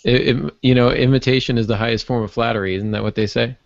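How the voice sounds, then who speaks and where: A man's voice: medium pitch, steady tone, fast, vocal fry, no echo, lo-fi sound. A man co-hosting a casual book discussion in an agreeable, conversational way. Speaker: podcast co-host.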